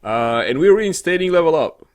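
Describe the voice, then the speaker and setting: A man co-hosting a casual, sweary comedic news chat in a halting, rambling, sarcastic way. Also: a little high, lively intonation. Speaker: co-host of a weekly games show.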